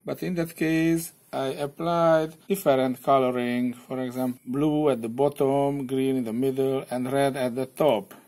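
Man, Hungarian accent, non-native English, kit-building tutorial voice-over, plain halting delivery, mid pitch. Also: flat delivery, darkish tone. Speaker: electronics kit reviewer. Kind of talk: hobby electronics tutorial voice-over.

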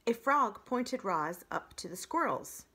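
A middle-aged woman reading a children's story aloud in an expressive storytelling style. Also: mid-range voice, takes it slowly, breathy edge. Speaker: children's library story reader.

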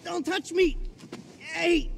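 An actor playing a flustered, earnest teenage boy fighter in a video game, quick to protest. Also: very high voice, gravelly, heavy background noise, full character voice. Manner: exclamatory, protesting. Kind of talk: video game cutscene acting. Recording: voice over busy game ambience.

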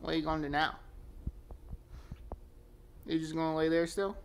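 Higher-voiced man chatting casually, slight noise. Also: completely flat delivery, normal speed, creaky. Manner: coaxing, repetitive questions to his pet. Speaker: pet vlogger. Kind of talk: casual chat to camera and dog. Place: indoors at home, hallway and carpeted room. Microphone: handheld phone or camera behind the lens. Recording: noisy amateur home recording.

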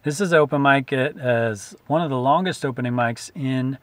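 A man's voice: mid-range voice, moderate pace, dry recording. A man in his thirties talking casually to a close camera from inside a car, easygoing with frequent "uh" fillers. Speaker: vlogger and musician. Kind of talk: casual travel vlog narration. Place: inside a parked car at night. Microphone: camera facing him at close range.

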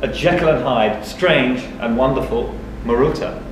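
A young man hosts a relaxed coffee tasting, talking with enthusiasm and often restarting his thoughts. He sounds set back from the microphone in a reverberant cafe room. Music plays clearly behind him. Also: mid-range voice, normal speed. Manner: enthusiastic, thinks aloud with restarts. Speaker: coffee reviewer and show host. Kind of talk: casual tasting chat. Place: dim cafe interior, in a small room. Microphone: far camera mic. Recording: roomy, distant, with music behind. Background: background music.

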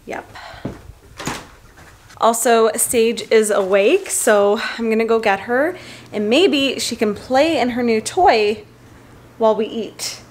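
A woman in her thirties chatting casually to a handheld camera at home, bubbly and quick to laugh. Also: a little high, slight background noise. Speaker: vlogger, new mom. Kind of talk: casual vlog chat. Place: large bright home kitchen and bedroom. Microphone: camera held at arm's length.

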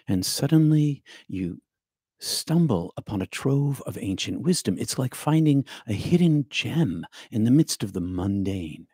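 Older guy w gravelly husky voice doing a spiritual talk at home, hushed bits, dry close mic. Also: on the low side, no echo. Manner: reads from notes, drops to near whisper for emphasis. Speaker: spiritual teacher. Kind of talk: scripted spiritual talk to camera. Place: home study, indoors. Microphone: studio mic on stand with headphones.

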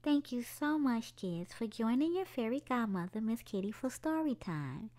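A woman's voice: fairly high pitch, very animated, moderate pace, dark tone. A woman hosting a children's storytime, reading a picture book aloud expressively to young children, with music behind her.

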